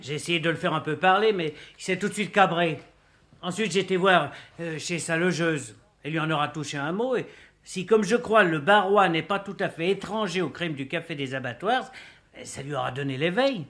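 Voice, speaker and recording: Casting: male actor as a keen, gabby young sidekick in a radio crime drama, slightly high voice, excitable and easily flustered. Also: brisk, natural voice. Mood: earnest, defensive. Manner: excitable, hesitant, chatty. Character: eager, talkative, flustered young male helper. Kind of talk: French radio mystery play acting.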